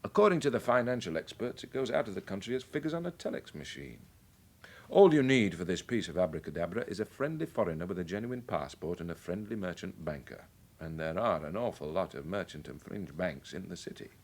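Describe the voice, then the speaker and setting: Dry, low-voiced British police chief. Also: male voice, lively intonation, darkish tone. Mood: dry, explanatory. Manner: dry, measured, explanatory. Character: worldly, wry senior police chief briefing his sergeant. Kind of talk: radio drama acting.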